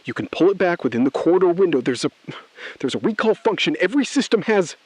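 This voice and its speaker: An actor playing a driven, desperate bank robber turned whistleblower in an audio thriller, halting and confrontational. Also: male voice, sits high, big pitch swings, dry recording. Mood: agitated, pleading. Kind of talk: scripted audio thriller acting.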